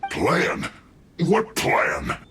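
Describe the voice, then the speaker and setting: An aggrieved male soldier from an anime game, defensive and exasperated. His medium-pitched voice jumps around animatedly, with a roomy echo and faint music behind. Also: wildly swooping pitch, very slow, fairly bright tone, slight background noise, exaggerated voice. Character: aggrieved male hostile soldier, indignant and put-upon.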